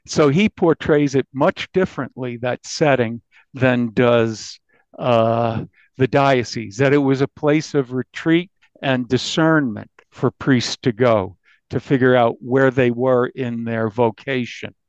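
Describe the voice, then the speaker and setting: A man speaking as a journalist guest, explaining things conversationally in a halting, deliberate way. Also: mid-range voice, clear, resonant tone, no echo, lo-fi sound. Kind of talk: conversational interview commentary.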